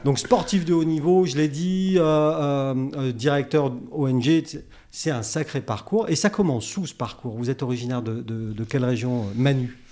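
A man's voice: mid-range voice, moderate pace, few pauses, dark tone. A man hosting a podcast interview in a conversational, informal and jokey way, often interjecting.

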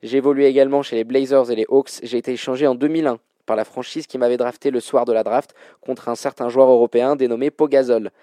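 A man's voice: medium pitch, steady tone, fast, hardly any pauses, warm tone, no room sound, studio quality. A man hosting a basketball trivia quiz with casual, slangy banter. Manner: informal, colloquial slang. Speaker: quiz show host.

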